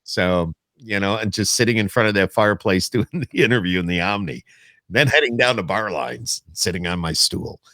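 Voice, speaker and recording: A man's voice: low voice, lively intonation, moderate pace, bright, forceful tone, no room sound. A man hosting a podcast, chatting casually as he interviews guests over a remote call. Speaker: podcast host.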